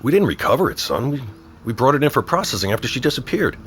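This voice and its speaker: A middle-aged man with an American accent acting in an audio drama as a stern, guarded policeman and father, measured and authoritative. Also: medium pitch, big pitch swings, slight background noise, natural voice. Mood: defensive.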